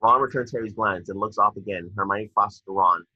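A man's voice: fairly low pitch, brisk, sharp tone, husky, dry recording. A man reading stage directions aloud as narrator at a table read, now and then stumbling over words. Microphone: video call. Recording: dull lo-fi video call audio.

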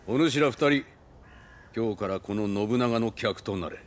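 A man's voice: lowish voice, a little slow, close to an everyday voice. A male actor playing a cool, commanding young samurai warlord who speaks in archaic, imperious lordly Japanese for a game. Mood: cool, intrigued.

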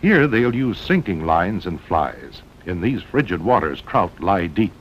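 Animated American male narrator, old film sound. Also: a little slow. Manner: dramatic, punchy short phrases. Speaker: documentary narrator. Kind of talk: 1960s promotional film narration. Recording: muffled old film soundtrack with rumbling noise.

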